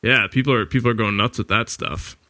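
A man's voice: lowish voice, fairly quick, bright, forceful tone, no echo, studio quality. A man hosting a podcast interview in a casual, easygoing way, with plenty of fillers. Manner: easygoing, loose, many fillers. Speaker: podcast host. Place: lives in the US Pacific Northwest.

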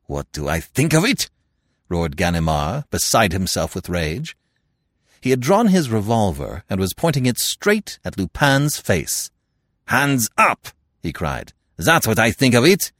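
A man reading aloud and voicing an enraged, gruff police inspector. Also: sits low, wildly swooping pitch, unhurried, no room sound, clean studio sound, heavily acted. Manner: barking, furious.

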